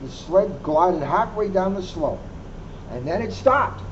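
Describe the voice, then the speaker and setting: A police officer reading a children's picture book aloud, adding wry asides. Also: male voice, high-pitched, animated, takes it slowly. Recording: muffled lo-fi recording with faint hum.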